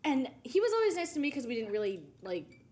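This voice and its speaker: A woman's voice: high voice, very animated, quick pace, darkish tone. A woman co-hosting a podcast in casual chat, blunt and profane, often laughing. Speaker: podcast co-host.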